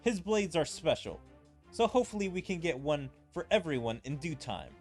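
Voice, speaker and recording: A man's voice: on the high side, expressive, normal speed, a little mellow, soft background music. A man streaming a game, reading its dialogue aloud in a theatrical way and adding his own commentary. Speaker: gaming streamer.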